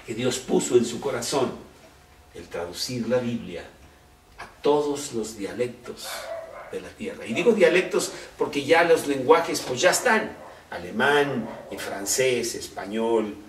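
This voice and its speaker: A man preaching a sermon in Spanish. He talks slowly and conversationally and keeps asking rhetorical questions. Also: medium pitch, far from the mic. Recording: roomy echo, off-mic, faint background noise.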